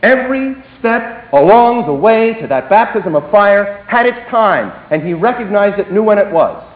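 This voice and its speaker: A man preaching a sermon, swinging between emphatic declarations and hushed, dropped phrases. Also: sits high, animated, normal speed. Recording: echoey hall, tinny phone-like sound.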